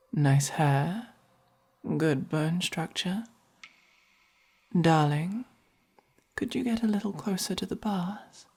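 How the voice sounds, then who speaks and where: A haughty, possessive vampire with a caring streak, played by a woman in an ASMR roleplay. The English-accented voice is mellow and fairly low, soft and breathy. Words come slowly and deliberately, with quiet command. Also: heavily acted. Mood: appraising. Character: haughty, possessive but caring vampire buying a human pet.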